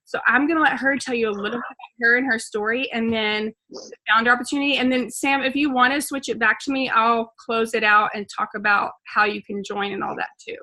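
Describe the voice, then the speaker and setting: A woman hosting a video call, making an enthusiastic, persuasive pitch with plenty of fillers. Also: fairly even intonation, a little fast, pushed and bright, low-quality recording. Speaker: host.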